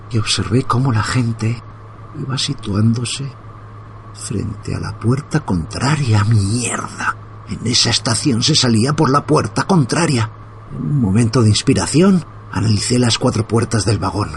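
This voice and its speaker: Deep-voiced man from reading a horror story. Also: creaky, slight background noise. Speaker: narrator.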